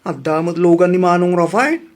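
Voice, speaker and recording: A man's voice: high voice, fairly quick, darkish tone, lightly acted. A man with an Ilocano accent playing a grumbling husband in a comedy audio drama. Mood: exasperated, complaining.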